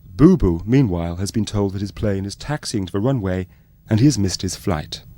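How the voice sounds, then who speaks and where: A male narrator with a low, mellow voice. He reads scripted docu-soap links with lively rises and falls. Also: normal speed.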